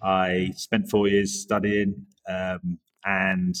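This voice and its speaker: English guy, 40s, pretty deep voice, rambly relaxed podcast guest. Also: very slow. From England, Norwich area. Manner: relaxed, rambling, many restarts and self-corrections. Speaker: guest, creative director. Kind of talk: casual interview chat.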